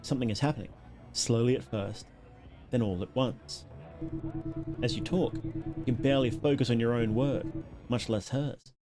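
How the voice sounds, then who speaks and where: Male streamer over clear background music. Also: medium pitch, normal speed, long pauses. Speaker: live streamer. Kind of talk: reading game text aloud with casual live asides.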